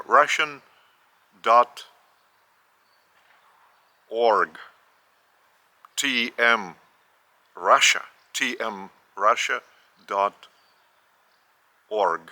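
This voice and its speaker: A man with a Lithuanian accent teaches in a very slow, measured way, often repeating and correcting himself. His voice sits a little low in pitch but sounds strikingly bright and forceful. He speaks English as a second language. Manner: measured, with repetitions and careful restarts. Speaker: spiritual teacher.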